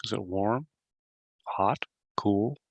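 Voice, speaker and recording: A man leading a guided meditation as host, giving simple instructions in short phrases with long pauses. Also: lowish voice, no room sound.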